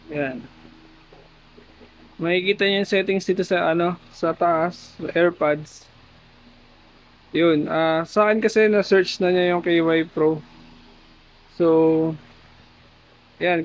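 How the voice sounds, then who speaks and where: Filipino guy doing a casual vlog tutorial, highish voice, takes his time, chatty with viewers. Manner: informal, addressing viewers with tag questions. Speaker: motovlogger. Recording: muffled helmet intercom mic, background noise.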